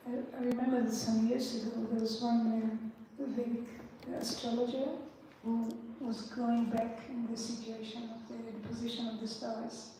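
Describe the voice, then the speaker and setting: A woman in the audience asking a question, halting and searching for words. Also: fairly high pitch, flat delivery, warm tone, a little hiss or hum. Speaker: audience member. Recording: echoey hall, voice far from the mic.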